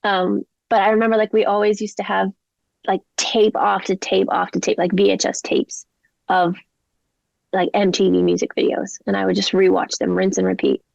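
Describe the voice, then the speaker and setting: A young woman speaking with a blended Canadian and English accent, chatting loosely with lots of fillers. Her voice has a gravelly fry to it. The recording is close and dry, with a slightly dull, muffled tone. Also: medium pitch, level tone, normal speed, darkish tone, no room sound. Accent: mixed Canadian and English. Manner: chatty, rambling, lots of likes and ums. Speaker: singer-songwriter guest. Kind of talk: casual interview chat.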